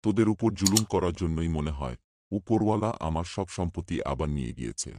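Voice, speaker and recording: A man playing a low, humbled old landlord. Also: lively intonation, fairly quick, dark tone, no room sound, clean studio sound. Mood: remorseful. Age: older. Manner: pleading, confessional. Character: remorseful, humbled older male moneylender landlord. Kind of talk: cartoon voice acting.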